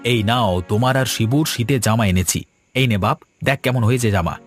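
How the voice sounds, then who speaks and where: A man's voice: mid-range voice, fairly quick, soft background music, close to an everyday voice. A man voicing a good-natured village father and husband in a cartoon, with a colloquial, placating manner. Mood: chatty. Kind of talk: Bengali cartoon story dubbing.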